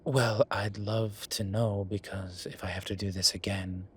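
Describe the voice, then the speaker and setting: A man playing a flirtatious, cheeky incubus demon in an audio roleplay, talking in a teasing, suggestive way with drawn-out pauses. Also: on the low side, level tone, fairly bright tone, slight background noise. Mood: amused teasing. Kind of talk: audio roleplay acting, one-sided conversation.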